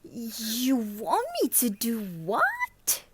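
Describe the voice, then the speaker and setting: A woman's voice: fairly high pitch, huge pitch swings, drawn-out words, heavy background noise, exaggerated voice. A woman voicing a dainty young female cockroach in a storybook, polite and a little hesitant. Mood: aghast.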